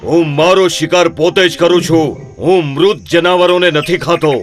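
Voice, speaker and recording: A man voicing a proud, mighty lion king in an animated children's story. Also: on the high side, normal speed, soft background music, natural voice. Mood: haughty, stern.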